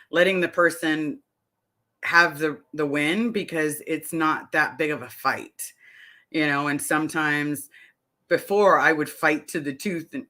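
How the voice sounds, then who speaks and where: Bright-voiced chatty middle-aged woman. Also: sits low. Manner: chatty, rambling, reads comments aloud. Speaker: vlogger answering live chat. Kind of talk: casual live-stream chat.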